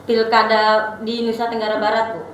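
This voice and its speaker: A woman's voice: fairly high pitch, completely flat delivery, fairly quick, a little hiss or hum. A woman trainee presenter with a Lombok accent, politely putting questions as a talk show host. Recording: echoey room with music.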